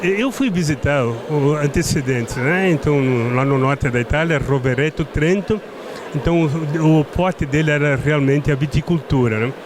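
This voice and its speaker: A middle-aged man from southern Brazil with a strong Italian-tinged accent, speaking as a winemaker guest in a halting way, often restarting his words. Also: a little high. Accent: Italian-tinged Brazilian Portuguese. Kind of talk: casual interview at a trade fair. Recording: noisy trade fair ambience.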